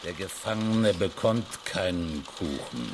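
A man playing a stern, officious colonial policeman in a radio drama, deliberate and unyielding. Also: deep voice, a little hiss or hum. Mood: cold, officious.

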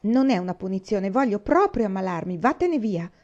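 A woman's voice: medium pitch, animated, fairly quick, mellow, lo-fi sound, lightly acted. A woman reading aloud voices a stubborn, defiant young girl who insists and snaps back. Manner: insistent, snapping.

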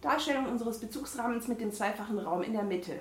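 A woman with a regional German dialect reads passages aloud in a casual talk show. Her voice sits a little high, and she stumbles over the wording now and then. The room is echoey, with faint hiss behind her. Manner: reads text aloud haltingly, amused. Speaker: podcast co-host reading from a book. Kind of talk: casual chat, reading aloud.

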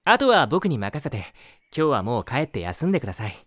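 A man playing a gentle, polite, concerned schoolteacher in an anime audio drama, speaking earnestly and reassuringly. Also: mid-range voice, very animated, brisk, no room sound, studio quality.

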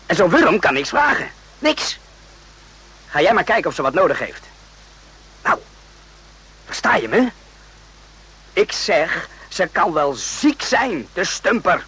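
An actor playing an uneasy, rough-spoken soldier on night watch in a radio play, agitated and often repeating himself. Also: male voice, on the high side, a bit bright, full character voice, low-quality recording.

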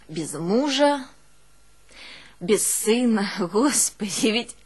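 A woman's voice: mid-range voice, darkish tone. An actress playing a long-suffering, devoted village woman, telling of hardship in a plaintive, folksy theatrical monologue. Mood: lonely, sorrowful. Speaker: actress in a one-woman show. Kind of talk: theatrical monologue with drawn-out folk singing.

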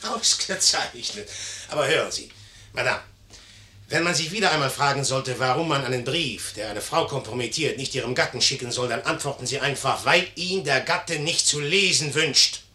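An actor playing a young, proud, hot-tempered general in a theatrical 1950s German radio play, sardonic and imperious in manner. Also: male voice, mid-range voice, normal speed, pushed and bright. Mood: mocking, amused.

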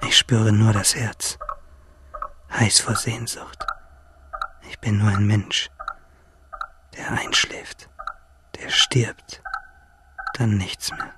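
A man playing a freezing, exhausted shipwrecked fisherman, alone and delirious at sea, in a halting, breathy radio drama monologue. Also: fairly low pitch, flat delivery. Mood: exhausted, fearful. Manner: halting, rambling, breathy whispers breaking into pleading. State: cold, exhausted.

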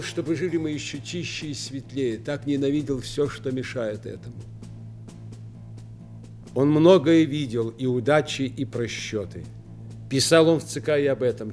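A man's voice: mid-range voice, normal speed, a little mellow, close to an everyday voice. An actor playing an earnest man who speaks solemnly, in short emphatic phrases, in a radio play. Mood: solemn, grieving. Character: earnest man solemnly recalling his soldier father. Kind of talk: dramatic radio play monologue. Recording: radio play with music underneath.

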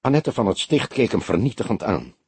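A male audiobook narrator with a husky voice reads detective fiction. His delivery is scripted storytelling with lively intonation, in a dry, close recording. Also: on the low side, a little fast, no echo.

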